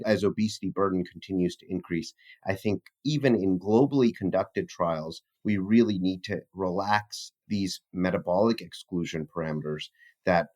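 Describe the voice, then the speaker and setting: A man with a fairly low voice speaks as a physician researcher being interviewed. He is articulate and formal, using technical language in a discussion style. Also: a little mellow.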